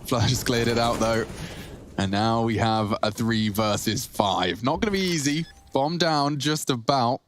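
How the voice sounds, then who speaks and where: A man with a British accent giving lively play-by-play commentary on an esports match. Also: mid-range voice, a little slow, slight background noise.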